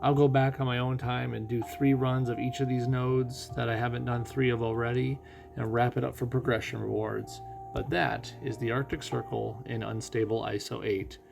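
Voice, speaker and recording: A man giving casual game commentary as host of a playthrough, thinking out loud and putting questions to himself. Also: mid-range voice, little pitch movement, moderate pace, creaky. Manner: thinks out loud, asking and answering own questions. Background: music.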